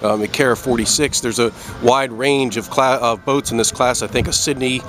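A man's voice: medium pitch, fairly even intonation, moderate pace, fairly bright tone. A man with a mid-range voice conducts an interview outdoors. He puts his questions in a conversational style. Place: on a boat in a marina, outdoors.

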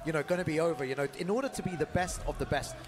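A man co-commentating a fighting-game tournament, his voice going hoarse, peppering his talk with "you know" and "bro". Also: on the high side, racing. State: losing his voice. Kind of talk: esports color commentary.

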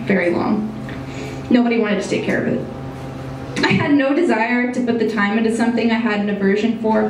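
A young woman reading her personal essay aloud into a stand mic at a small indoor venue, in a measured, reflective way. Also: fairly high pitch, a little mellow, far from the mic, a little hiss or hum. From United States. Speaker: essay reader. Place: small brick-walled bar venue, indoors. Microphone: stage mic on a stand. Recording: very echoey room, voice a bit off-mic.

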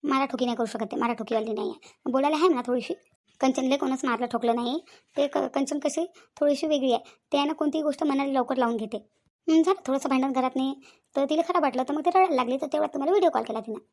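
Woman playing high, fast-talking village peacemaker auntie. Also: a little mellow. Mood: placating. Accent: Varhadi Marathi. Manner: talkative, reassuring, repetitive persuasion. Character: middle-aged village matriarch, practical, placating family peacemaker. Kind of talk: comedy cartoon dialogue.

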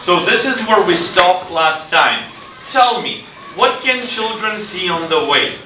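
A middle-aged man teaching English to children in a classroom, using simple deliberate phrases, repeated prompts and praise. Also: sits high, expressive. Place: classroom, indoors. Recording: echoey, noisy classroom from far off.

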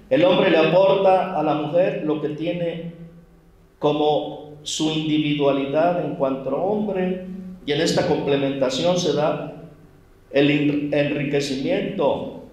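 Priest, male, Mexican Spanish accent, unhurried homily, slightly high voice, far off in a booming church. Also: heavy reverb. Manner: slow and deliberate, gently scolding asides. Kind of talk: wedding homily.

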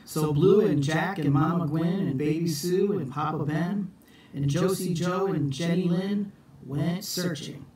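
Slow-paced middle-aged man reading a storybook. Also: a little high, darkish tone, slight background noise. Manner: deliberate, theatrical storybook delivery. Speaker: storytime reader for schoolchildren. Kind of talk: expressive children's picture book read-aloud. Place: small room at home, indoors. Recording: echoey room, distant phone mic.

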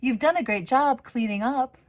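A woman voicing a kindly, doubtful grown woman neighbor in a storybook, gentle and skeptical. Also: sits high, normal speed, pitched above the performer's own voice, natural voice.

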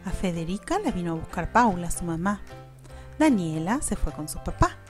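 A woman with an Argentine accent narrating a children's story in an expressive storytelling style. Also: lowish voice, normal speed, soft background music.

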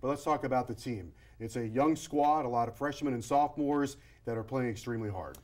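A mid-pitched man hosts a college sports show from a studio set. He sets up each question slowly and deliberately. The lapel mic gives a clean studio sound. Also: a little mellow. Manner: slow, deliberate host delivery. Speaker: TV show host.

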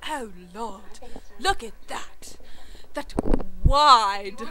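A woman's voice: a little high, very slow. A young woman in a silly improvised chat, goofing around and drifting between mock accents. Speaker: co-host of a homemade video. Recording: noisy homemade recording.

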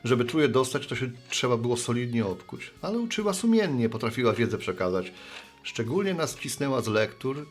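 A man's voice: medium pitch, sing-song, fairly quick, faint music behind, natural voice. An actor playing a good-natured, sheepish middle-aged man, a postman and bar regular, talking in a folksy, rambling way in a radio play. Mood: reflective.

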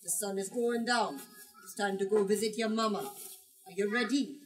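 A woman's voice: medium pitch, normal speed, slight background noise, close to an everyday voice. A firm and caring storybook father read by a woman talks with parental emphasis. The voice sits a little away from the microphone. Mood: stern, caring. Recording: distant from the microphone.

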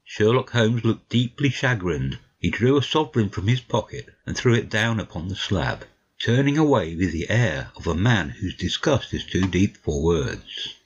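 Deep, husky male story narrator. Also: sing-song, normal speed, highs cut off. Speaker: audiobook narrator.

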